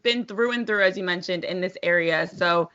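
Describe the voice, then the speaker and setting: A woman's voice: fairly low pitch, normal speed, sharp tone, highs cut off. An American woman, a sports broadcaster being interviewed, telling her story in an articulate, positive, conversational way. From New Jersey, United States.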